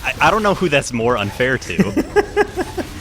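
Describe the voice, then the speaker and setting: A man's voice: on the high side, wildly swooping pitch, slow, pushed and bright. A man co-commentating on a video game in a casual, wry, bantering way. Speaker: let's play co-commentator. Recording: game music behind the voice. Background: video game music.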